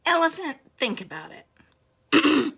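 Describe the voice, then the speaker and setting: A woman's voice: high voice, sing-song, slow pace, vocal fry, a bit bright, pitched above the performer's own voice, full character voice. A woman voicing a smug, cheeky little mouse, teasing and emphatic, in a children's storybook reading. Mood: cheeky, pleased. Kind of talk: children's storybook read-aloud.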